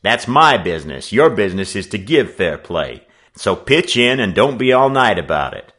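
A man voicing a big, gruff ship's fireman in a storybook, blunt, tart and commanding. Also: fairly low pitch, sing-song, slow pace, a bit bright, pitched above the performer's own voice, full character voice.

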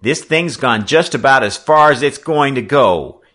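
A young sailor lad, read by a male narrator, blunt and loyal. He talks plainly and firmly, with lively pitch, in the manner of an adventure audiobook. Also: unhurried. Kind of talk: audiobook character acting.

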